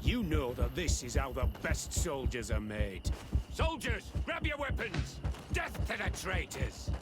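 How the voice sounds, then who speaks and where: An actor playing a cruel, commanding military officer in a video game, barking with contempt. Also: male voice, fairly high pitch, sing-song, a little slow, over loud music. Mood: menacing.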